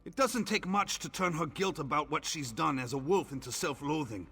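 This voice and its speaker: An actor playing a blunt, earnest, protective man in a fantasy game, forceful in manner. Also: a little high, moderate pace, husky, heavily acted. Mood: worried, earnest. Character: earnest, protective, blunt male werewolf ally. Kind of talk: game dialogue acting.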